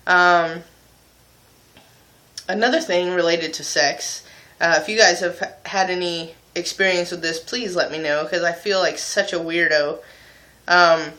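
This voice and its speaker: A man's voice: high-pitched, fairly even intonation, measured pace, bright, forceful tone. A young adult man talking casually and candidly to viewers as a video blogger, in an informal, self-mocking way. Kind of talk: casual confessional chat to viewers.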